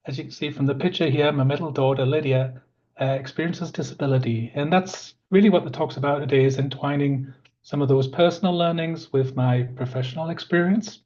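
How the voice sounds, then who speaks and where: A man in his fifties, a park planning manager, presents a webinar in a croaky voice, talking in an earnest, conversational way with many fillers. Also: on the high side, a little fast, a little mellow. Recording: dull lo-fi audio.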